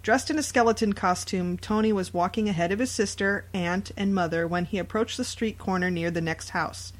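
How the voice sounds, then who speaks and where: A woman with a voice of medium pitch co-hosts a true crime podcast. She recounts cases in a casual, conversational style and comments on them with dry sarcasm. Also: fairly quick. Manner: sarcastic asides. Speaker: podcast co-host. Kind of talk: casual true crime chat, reading out stories.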